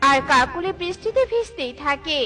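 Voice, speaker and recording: A woman's voice: sits high, expressive, moderate pace, loud music behind the voice. A woman reading scripted narration for a children's story as the storyteller.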